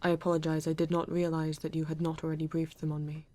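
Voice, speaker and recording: A woman voicing a polite, formal male android detective in an ASMR roleplay, with a British accent and stiff, measured phrasing. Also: low-pitched, completely flat delivery, warm tone, natural voice.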